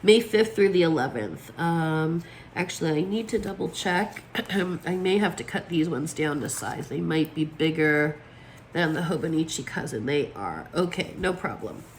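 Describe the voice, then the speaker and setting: A woman's voice: sits low, animated, moderate pace, slight background noise. A woman with a froggy, allergy-worn voice chatting casually as she sets up her planner, with plenty of fillers. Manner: chatty, lots of fillers, talks while placing stickers. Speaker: planner vlogger. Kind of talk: casual chat while crafting.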